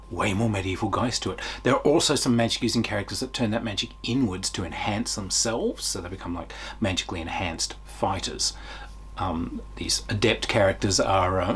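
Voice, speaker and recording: A man's voice: on the low side, a little hiss or hum. A middle-aged man giving a casual, unscripted video review in an enthusiastic, emphatic way, as a tabletop game reviewer.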